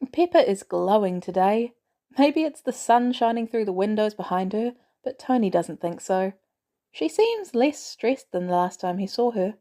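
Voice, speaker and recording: A woman narrates fiction in a mellow, soft-edged tone. Her pitch moves in a lively way as she reads. The delivery is that of a scripted audiobook-style reading. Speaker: podfic reader.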